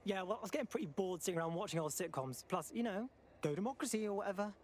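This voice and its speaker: An actor with a British accent playing a flippant, cocky young resistance operative in a video game, offhand and irreverent. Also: male voice, high voice, lively intonation, rapid-fire, darkish tone. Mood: flippant, casual. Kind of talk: video game cutscene dialogue. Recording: clear background noise.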